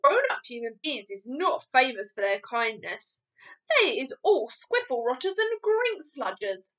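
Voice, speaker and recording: A woman's voice: sits high, sing-song, measured pace, fairly bright tone, heavily acted. A woman voicing a kindly, sad and stubborn giant from a storybook, with rustic, muddled grammar and emphatic delivery. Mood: firm, emphatic. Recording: dull lo-fi recording.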